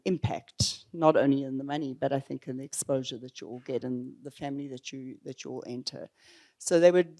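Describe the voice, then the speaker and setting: A woman's voice: sits low, dark tone. A woman moderating a panel discussion, speaking hesitantly with frequent ums and restarts.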